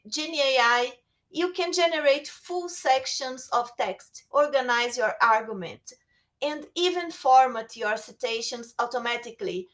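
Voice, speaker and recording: A woman's voice: on the high side, fairly bright tone. A woman whose first language is Portuguese, presenting a webinar lecture on writing tools, reading prepared text with small restarts. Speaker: webinar speaker.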